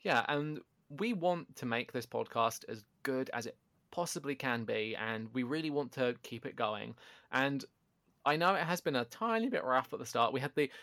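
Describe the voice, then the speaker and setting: Gravelly-voiced loud man on a podcast. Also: medium pitch, moderate pace, dry recording. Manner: animated, self-deprecating, loud. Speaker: podcast co-host. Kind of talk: casual podcast chat. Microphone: home podcast microphone.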